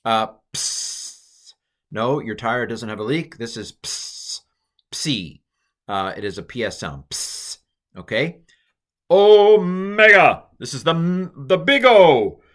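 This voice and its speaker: A man's voice: mid-range voice, expressive, takes it slowly, a bit bright. An American man teaching a recorded lesson in a casual, jokey, self-deprecating way, with lots of fillers. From United States. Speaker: Greek teacher.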